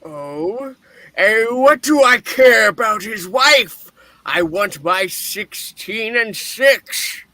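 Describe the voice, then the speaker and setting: A man's voice: sits high, animated, very slow, bright, forceful tone, no room sound, exaggerated voice. A man playing a cranky, miserly old boss in a radio-play reading, blustering and snappish with bitter scorn. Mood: stern, grumbling.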